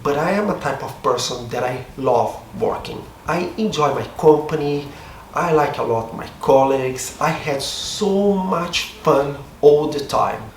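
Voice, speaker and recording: A slightly hoarse man with a Brazilian Portuguese accent talks in a chatty, upbeat, self-deprecating way. His voice sits a little high for a man. He is recorded from a distance in an echoey room, with faint noise from outside. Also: animated, unhurried. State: a bit hoarse. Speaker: vlogger. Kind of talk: casual talk to camera. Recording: roomy echo, distant mic, faint street noise.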